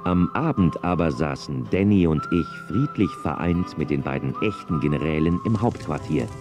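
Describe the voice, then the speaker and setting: A man's voice: extremely low pitch, animated, normal speed, music in the background. A man playing a sheriff who narrates his own western adventure in a scripted children's audio drama. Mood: storytelling.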